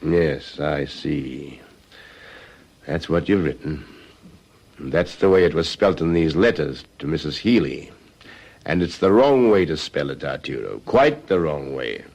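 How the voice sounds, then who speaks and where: An actor playing a calm, methodical police detective in a radio crime drama, questioning in a measured, formal way. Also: male voice, extremely low pitch, slightly rough, exaggerated voice. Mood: probing, stern.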